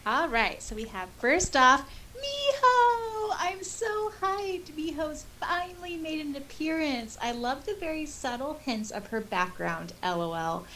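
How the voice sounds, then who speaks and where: A woman in her thirties with an American accent, co-hosting a podcast in a casual, animated, chatty way. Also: high-pitched, unhurried, hardly any pauses, rough recording. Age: 30s. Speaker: podcast co-host. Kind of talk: casual chat, reading out and reacting to listener comments. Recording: clear background noise with hiss.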